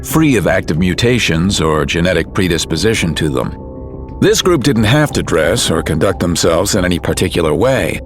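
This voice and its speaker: A man narrating a scripted audio drama in a serious, measured storytelling manner. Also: low-pitched.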